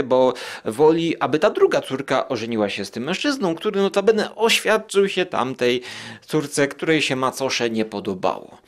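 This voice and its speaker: A man hosting a podcast, casually rambling through a review and thinking aloud as he goes. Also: on the high side. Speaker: podcast host.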